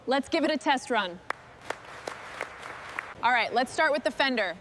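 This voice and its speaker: A woman leading a restoration crew, giving calm, clipped instructions in scripted workshop dialogue. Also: high voice, a little slow, crowd noise, noisy background.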